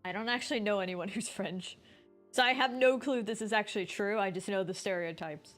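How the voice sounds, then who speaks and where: A woman's voice: medium pitch, brisk. A woman streamer giving casual, rambling, informal live commentary on a game. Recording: music behind the voice.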